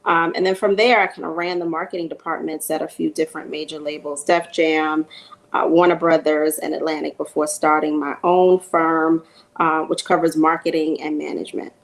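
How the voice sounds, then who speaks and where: Woman from New York, fairly low voice, steady, lots of ums. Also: moderate pace. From Queens, New York. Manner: steady storytelling with frequent ums. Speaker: panelist, music marketing executive.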